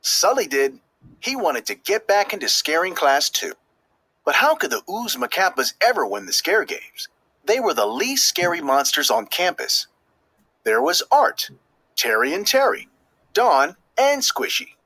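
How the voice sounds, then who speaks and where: A man narrates a children's storybook in a fairly high, very animated voice with a slightly husky edge. His delivery is expressive and emphatic, leaning on key words as he tells the tale. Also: unhurried, no room sound. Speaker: storybook reader. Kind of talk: scripted children's read-aloud narration.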